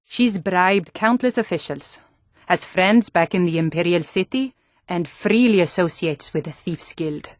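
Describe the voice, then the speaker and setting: Woman acting stern, low-voiced Nord warrior woman. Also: no room sound, clean studio sound, lightly acted. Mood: earnest, disapproving. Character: stern, earnest Nord warrior women and town guards in fantasy Skyrim. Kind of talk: video game character dialogue.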